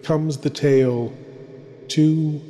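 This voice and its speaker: A man's voice: a little high, fairly even intonation, extremely slow, mellow. A man playing a sinister, theatrical horror host who introduces eerie tales in a dramatic, ominous manner. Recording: long cavernous reverb.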